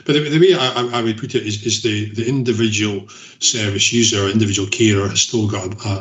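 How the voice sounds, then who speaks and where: A man speaking as an official in a formal meeting, in a halting way with repeated words. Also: lowish voice, steady tone. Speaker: council officer presenting to committee. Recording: roomy, echoing meeting call.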